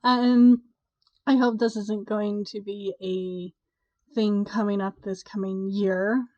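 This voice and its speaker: A woman's voice: mid-range voice, little pitch movement, slow, a little mellow, no echo, lo-fi sound. A young woman vlogger, congested from a cold, chatting casually and rambling with a stuffy, nasal voice. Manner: chatty, rambling, with sighs and laughs. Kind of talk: casual chat to camera.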